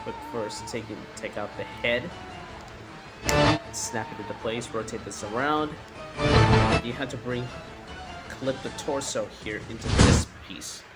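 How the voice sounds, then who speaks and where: A man narrating a hands-on toy review in a halting way, often repeating his words. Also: medium pitch, fairly even intonation, over loud music, poor audio.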